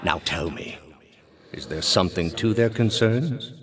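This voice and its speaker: Deep-voiced, solemn high priest. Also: male voice, expressive, a bit bright. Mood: gentle, probing. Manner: measured, grave, formal preacher-like delivery. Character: solemn, pious male high priest, calm and commanding zealot. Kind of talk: dramatic video game character dialogue.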